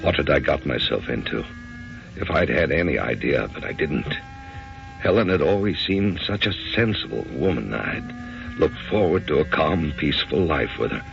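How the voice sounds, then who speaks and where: A baffled american husband in an old radio play, super low gravelly voice, halting, muffled sound. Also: male voice, lively intonation, heavily acted. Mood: troubled narration. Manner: hesitant, halting. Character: bewildered, conventional middle-aged husband, uneasy and earnest. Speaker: actor and first-person narrator. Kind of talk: old-time radio drama acting. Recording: dull, muffled radio drama recording.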